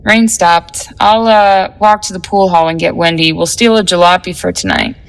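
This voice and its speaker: A woman's voice: lowish voice, fairly even intonation, moderate pace, gravelly, low-quality recording. A young woman acting in an audio drama as a cool, scheming, slangy teenage girl in a 1950s gang, offhand and sardonic. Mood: coolly persuasive.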